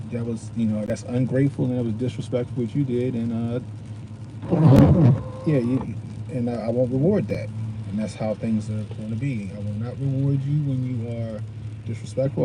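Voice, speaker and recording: A man in his forties, a podcast host telling a casual story in a blunt, profane and animated way, full of slang. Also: mid-range voice. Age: 40s. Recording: loud noise behind the voice.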